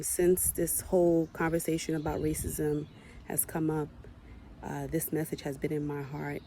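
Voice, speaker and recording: A woman with a fairly low voice gives a heartfelt personal message to her community, raised on Haitian Creole as her first language. She records on her phone and grows more emphatic, stressing and repeating her points. A faint hiss sits under her voice. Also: mellow. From United States. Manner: impassioned, building emphasis, repeats for effect. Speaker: mother addressing her community. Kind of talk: earnest personal message to camera.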